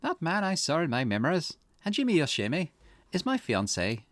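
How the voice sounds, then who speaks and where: A man's voice: on the high side, wildly swooping pitch, moderate pace, fairly bright tone, no echo, pitched above the performer's own voice. A man voicing an anxious young woman with amnesia in a visual novel game, puzzling things out aloud. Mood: dawning alarm. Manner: puzzled, thinking aloud while investigating.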